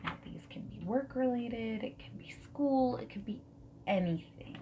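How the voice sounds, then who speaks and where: A woman speaking softly and breathily in an ASMR roleplay, often slipping into whispers as she asks gentle, reassuring questions like a friendly interviewer. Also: fairly high pitch, unhurried, dull audio. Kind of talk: soft-spoken ASMR roleplay. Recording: steady noise, keyboard typing and clicks. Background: mechanical keyboard typing and clicks.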